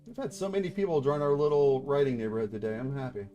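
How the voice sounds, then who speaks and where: Male streamer, 30s, mid-pitched, halting casual chat, soft music bed. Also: expressive. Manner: hesitant, many fillers and restarts, reads chat aloud. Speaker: VTuber streamer hosting writing critiques.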